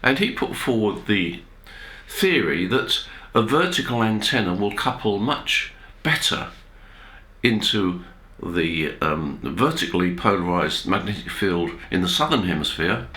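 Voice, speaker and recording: A man presenting an informal technical explainer as a video host, speaking in a measured, hesitant way with pauses. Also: fairly low pitch, big pitch swings, pushed and bright. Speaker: amateur radio video host. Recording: roomy, echoey indoor sound.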